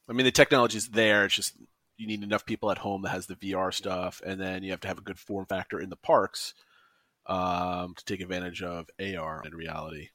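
A man co-hosting a casual podcast, talking in a relaxed way that drops into lower asides. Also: dry recording. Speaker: podcast co-host.

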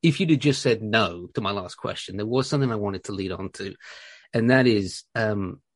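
A man hosting a casual interview, putting questions in an informal, somewhat halting and tentative way. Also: lowish voice, dry recording, clean studio sound. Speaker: interview host.

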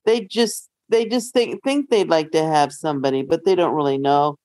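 A woman's voice: low voice, moderate pace, a little mellow, no echo. A middle-aged woman co-hosting a podcast in casual banter, giving direct, playful advice. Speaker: podcast co-host.

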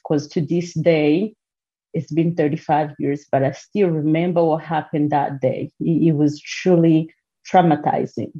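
Low, husky woman. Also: mellow. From Rwanda. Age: 40s. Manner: earnest, measured, with pauses. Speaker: podcast guest. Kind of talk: personal testimony. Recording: muffled lo-fi recording.

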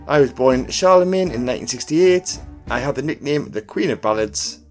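A man hosting a quiz podcast, reading out scripted questions and clues in a deliberate announcing manner. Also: mid-range voice, lively intonation, darkish tone. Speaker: pub quiz podcast host. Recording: music bed under the voice. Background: background music.

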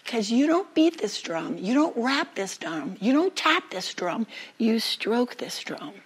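Woman as male folktale cat uncle: worldly, solemn, measured and unhurried in delivery. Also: on the high side, very animated, slightly rough, exaggerated voice. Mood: solemn, hushed. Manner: measured, solemn, repetitive emphasis.

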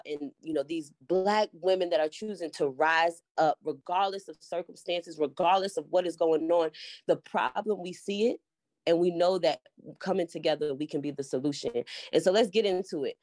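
A woman's voice: lowish voice, normal speed, dry recording. A woman hosting a panel podcast, rallying her guests with energy. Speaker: podcast host.

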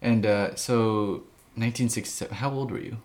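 A man's voice: fairly low pitch, steady tone, normal speed, a little mellow. A man in his twenties hosting a casual family interview as the interviewer. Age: 20s. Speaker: podcast host, interviewer. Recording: noticeable background noise.

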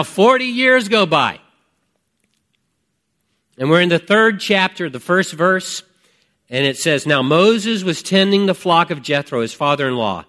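Higher-pitched man preaching conversationally. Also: a bit bright. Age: 50s. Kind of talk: conversational sermon with personal testimony.

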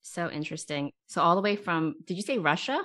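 Low-voiced woman hosting an interview. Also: expressive, brisk, a bit bright. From immigrant, came at age eight. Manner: upbeat, friendly, laughs easily. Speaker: interview host.

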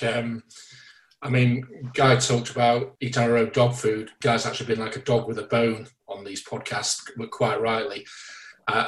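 Man with an echoey, distant sound. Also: mid-range voice. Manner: jokey, self-deprecating. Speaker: podcast co-host and startup founder. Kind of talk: casual podcast chat.

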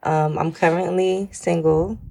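A woman's voice: fairly low pitch, fairly even intonation, unhurried, gravelly, a little mellow, no room sound. A woman, a podcast guest speaking bluntly and laughing easily in casual chat. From Brooklyn, New York, family from Saint Lucia. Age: 30s.

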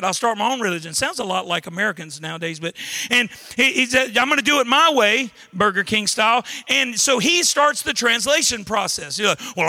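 A Southern pastor from Louisiana preaches in a high, bright, forceful voice. He is a middle-aged man who leans on folksy humor and quick asides. He often restarts his words mid-phrase as he teaches. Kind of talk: sermon.

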